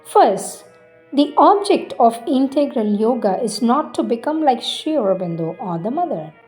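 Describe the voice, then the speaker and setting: A woman giving a solemn devotional reading of spiritual teachings, deliberate and emphatic, pausing between phrases. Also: a little high, sing-song, a little mellow, soft background music.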